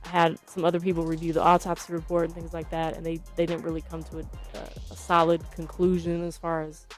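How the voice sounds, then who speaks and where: A woman giving interview answers as an author, explaining things in a measured, hesitant, careful way. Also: on the low side, monotone, soft background music. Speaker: journalist and author being interviewed.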